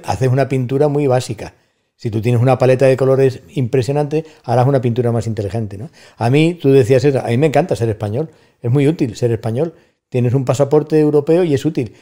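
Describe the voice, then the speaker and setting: A middle-aged man with an Andalusian accent talks through ideas and anecdotes at his own pace. His voice is dark and mellow. He steers the chat with rhetorical questions and small stories. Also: medium pitch. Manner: storytelling, thoughtful, with rhetorical asides. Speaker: guest, university staff member. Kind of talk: casual conversation on a podcast.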